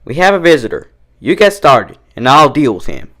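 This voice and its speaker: A man puts on a feisty young country mare from a cartoon fan story. She speaks in a folksy Southern American drawl, raised in pitch and very animated. Her words come out plain and emphatic. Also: normal speed. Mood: friendly, hearty. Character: feisty young female country farm pony, plain-spoken, stubborn. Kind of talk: cartoon fan fiction character reading.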